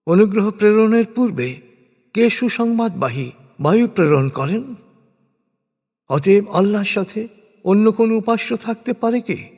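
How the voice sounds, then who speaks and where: Slow solemn guy narrating scripture, slightly high voice, big echoey reverb, lots of deliberate pauses. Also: animated, a little mellow. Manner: measured, declamatory, with deliberate pauses and rhetorical questions. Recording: long reverberant hall echo.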